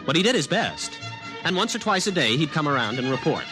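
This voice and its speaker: A man's voice: fairly high pitch, sing-song, a little fast. A young man playing a skeptical college student in a 1950s radio drama, talking in a casual, wry way. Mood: wry narrating. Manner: casual, hesitant questioning, wry. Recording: vintage radio recording with music.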